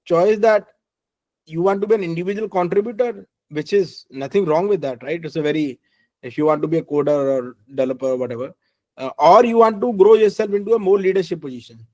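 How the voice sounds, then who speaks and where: Loud fast-talking Indian English man. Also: high-pitched, dry recording, rough recording. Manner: loud, emphatic, peppers speech with "right?". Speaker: guest speaker, engineering head. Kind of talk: career advice talk, mixing English and Hindi.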